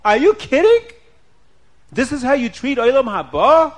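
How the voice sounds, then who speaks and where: A man's voice: on the high side, big pitch swings, fast talker. A man voicing an outraged wealthy wife who scolds her husband in indignant rhetorical questions, in an oral story.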